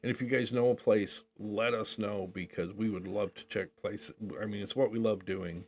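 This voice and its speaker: An older man with a lowish voice talks loosely and rambles, joking and chuckling as he goes. A faint hum sits under his voice. His tone stays easygoing and conversational. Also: fairly even intonation, normal speed, darkish tone. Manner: rambling, jokey, laughs often. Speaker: podcast co-host. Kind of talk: casual chat.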